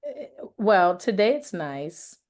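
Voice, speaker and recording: A woman's voice: mid-range voice, sing-song, slow pace. A woman from Michigan, a guest on a podcast, friendly as she tells her story. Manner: friendly, laughs lightly while telling her story. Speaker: interview guest, child care owner. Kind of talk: casual podcast interview.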